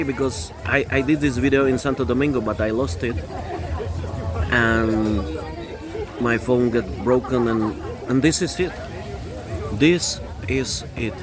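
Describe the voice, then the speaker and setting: A man from the Republic, giving a halting, opinionated vlog monologue, often restarting and repeating phrases. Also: mid-range voice. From Czech Republic. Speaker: vlogger.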